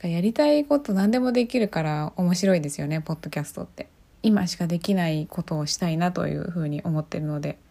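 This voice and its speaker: A woman's voice: fairly low pitch, fast talker, gravelly, dark tone. A woman hosting a podcast on her own, chatting casually and often putting questions to her listeners. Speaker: podcast host. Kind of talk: casual solo podcast chat.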